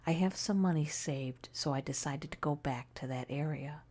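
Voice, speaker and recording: A woman narrating a scary story in a soft, breathy ASMR style, often near a whisper, hushed and deliberate. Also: low-pitched.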